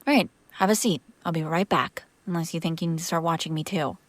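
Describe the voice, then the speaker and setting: A woman's voice: sits low, fast, no echo. Dry, close-sounding woman acting as a vampire master. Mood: calmer, explaining. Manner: conversational, defensive, sardonic. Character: female vampire master, exasperated yet protective caretaker. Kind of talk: intimate audio roleplay acting, one side of a conversation.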